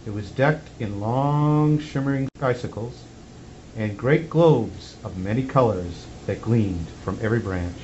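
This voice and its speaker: A man's voice: lowish voice, a little slow, a little mellow. Man reading a storybook, slightly muffled recording. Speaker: Santa Claus reading a storybook to children. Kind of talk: storybook read-aloud for children. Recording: muffled lo-fi sound with faint background noise.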